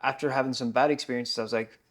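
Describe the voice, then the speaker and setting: Fast-talking casual male podcast host. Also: medium pitch, fairly even intonation. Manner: casual and chatty, full of "like". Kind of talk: podcast conversation.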